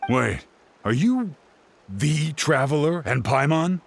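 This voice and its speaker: Elderly male scholar, video game, distraught, earnest, gravelly creak, plaintive, faint background noise. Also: fairly high pitch, lively intonation, slow pace, full character voice. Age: older. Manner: plaintive, formal. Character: distraught, earnest old male scholar robbed of his work.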